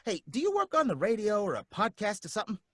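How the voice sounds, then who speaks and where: A plain-spoken working-class cabbie, acted by a man, full of slang and suspicion. His voice is bright and bounces up and down a lot. The sound is close and dry. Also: sits high, huge pitch swings, fast talker, husky, no room sound, exaggerated voice. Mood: suspicious. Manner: folksy slang, suspicious, blunt. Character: wary blunt male taxi driver, working-class. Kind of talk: live radio drama reading.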